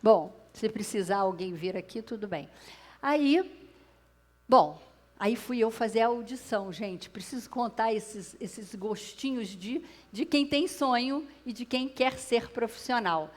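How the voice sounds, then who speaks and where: A woman's voice: a little high, lively intonation, a little slow. A Brazilian woman with a Brazilian Portuguese accent, telling a personal story to a live audience on stage through a clip-on mic, addressing the listeners directly. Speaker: speaker at a public talk. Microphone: clip-on microphone.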